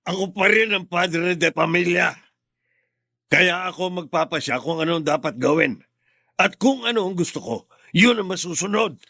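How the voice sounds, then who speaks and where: A gravelly, stern father. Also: high voice, expressive, normal speed, pushed and bright, dry recording, studio quality, exaggerated voice. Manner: authoritative, curt. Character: stern gruff father, family head. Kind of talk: radio drama acting.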